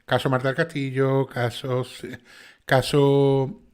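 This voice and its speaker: A man's voice: mid-range voice, flat delivery. A man with a strong Sevillian Andalusian accent, hosting a casual livestream chat and checking in often with his listeners. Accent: Sevillian Andalusian Spanish. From Seville, Spain. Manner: fast, chatty, with many restarts and "¿vale?" checks. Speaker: channel host and narrator.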